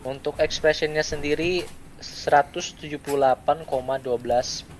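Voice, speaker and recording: A young adult man gives a step-by-step software tutorial, speaking in short, halting phrases with pauses. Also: on the high side, steady tone, poor audio. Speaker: student presenter giving a software tutorial. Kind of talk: step-by-step screen tutorial, unscripted. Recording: clear background noise.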